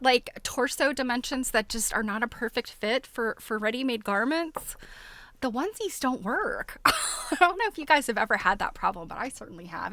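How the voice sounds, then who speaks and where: A woman hosting a casual livestream, chatting to her viewers in an expressive, giggly, informal way. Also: on the high side, no room sound. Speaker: livestream host.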